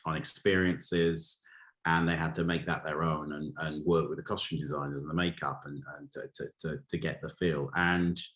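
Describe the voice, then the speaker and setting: Low-voiced man from Wales, halting delivery. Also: flat delivery, a bit bright. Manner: hesitant, halting, searching for words. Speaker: film writer-director, interview guest. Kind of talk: conversational interview answers.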